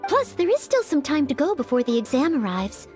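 An extremely high-pitched young maid for a video game, earnest and courteous. She is hesitant and self-doubting yet dutiful, and background music plays softly under her words. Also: sing-song, brisk. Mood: earnest, determined. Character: earnest, anxious young maid aspiring to knighthood.

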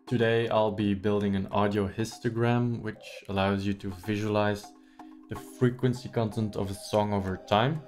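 A man with a Belgian accent and a somewhat low voice narrates a screen-recorded tutorial. He is not a native English speaker and explains carefully, pausing with ums and uhs as he clicks through each step. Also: fairly even intonation, darkish tone, soft background music. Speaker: tutorial host.

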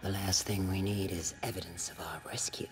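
A woman playing a sultry, sadistic policewoman villain with a breathy, mocking voice in a video game cutscene. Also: rumbling low, fairly even intonation, normal speed, a little mellow. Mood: sultry mockery.

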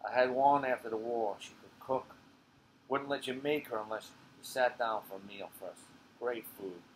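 A man's voice: mid-range voice, moderate pace, close to an everyday voice, low-quality recording. A man reading a stage monologue as a crude, uneducated old war veteran, rambling and swearing bluntly. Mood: gruff reminiscing. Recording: clear background noise.

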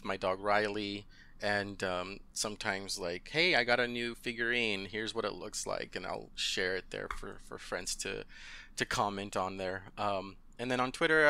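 Gravelly, bright-toned man chatting casually. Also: on the low side. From United States. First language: Spanish. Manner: rambling with many ums, laughs often. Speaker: podcast guest. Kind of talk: casual interview chat.

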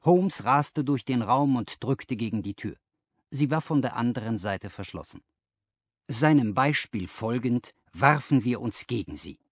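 A man's voice: fairly low pitch, husky, dry recording, studio quality. A man reading a story aloud as scripted literary narration. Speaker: audiobook narrator.

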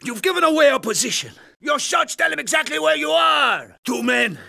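An actor playing a vengeful, commanding middle-aged Soviet sniper in a video game, with a Russian accent, a gravelly, husky voice and dramatic, deliberate delivery. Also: male voice, very high-pitched, lively intonation, pushed and bright, raised voice, exaggerated voice. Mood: desperate, furious. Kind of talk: video game character acting.

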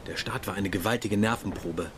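A man's voice: on the low side, fairly quick, background noise, close to an everyday voice. An actor playing a composed German imperial naval officer, recounting his memories formally in a documentary radio drama. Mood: composed, reflective.

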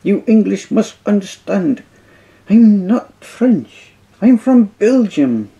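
A man's voice: medium pitch, expressive, unhurried, dark tone. A man voicing a fussy, proud male Belgian detective with a pompous, affected French-style accent, in a told story. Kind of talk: storytelling with voices.

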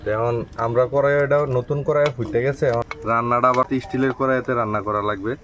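Man in his thirties, mid-pitched voice, matter-of-fact talk, outdoor yard, faint background noise. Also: fairly even intonation, fast talker. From Bangladesh. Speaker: village cook. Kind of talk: casual explanation while cooking.

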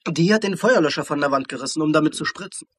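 Hurt young cadet, kinda high voice, grudging short answers, sullen, radio drama. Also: male voice, fast talker, fairly bright tone, slightly rough. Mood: sullen, weary. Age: young adult. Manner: terse, reluctant. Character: young injured male police trainee, sullen, reluctant. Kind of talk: German crime radio play dialogue.

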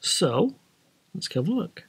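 A man with a British accent presents a casual tutorial for trainee pilots. His voice sits a little higher than usual for a man. He explains things informally, step by step. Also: sing-song, takes it slowly, slightly rough. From Britain.

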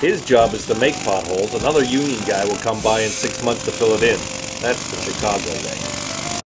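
A man with a big baritone voice, co-hosting a casual family travel vlog with his son and cracking playful puns. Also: moderate pace, very noisy. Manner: playful, punning dad jokes. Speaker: father and co-host.

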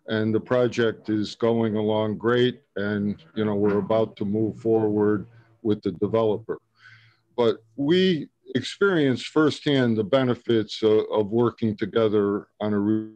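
Older male mayor on a video call, low voice, dry close sound, steady and measured. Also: no room sound. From Connecticut. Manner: measured, steady, with frequent ums. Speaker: mayor testifying at a public hearing. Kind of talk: prepared testimony. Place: office with shelves and a flag.

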